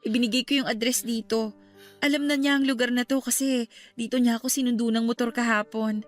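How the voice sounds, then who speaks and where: A woman playing a gentle, reassuring young woman in a Filipino radio drama, with a Filipino accent. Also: a little high, brisk, lightly acted. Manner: calming, considerate. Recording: music under the voice.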